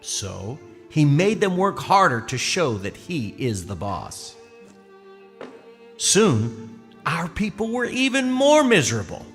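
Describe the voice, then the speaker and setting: A man playing a patient, gentle but firm Israelite father in a children's puppet show, explaining things deliberately like a bedtime storyteller. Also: on the high side, exaggerated voice. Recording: long hall reverb, music underneath.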